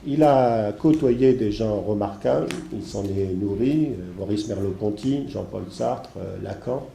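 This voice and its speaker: Congested, dark-voiced man introducing a seminar. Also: fairly low pitch, creaky. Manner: hesitant, halting, digressive. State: congested from a heavy cold. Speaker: seminar host introducing guest speakers. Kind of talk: spoken introduction of a seminar, semi-improvised. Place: seminar room with an audience. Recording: echoey room with faint background noise.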